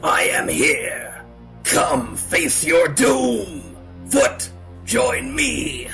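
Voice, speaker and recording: A man playing a menacing, arrogant older martial arts master, speaking with pompous, theatrical slowness and a gravelly edge in a fan-made voice comic. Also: sits high, sing-song, bright, forceful tone, soft background music, exaggerated voice. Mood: taunting, furious. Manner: slow, pompous, theatrical threats.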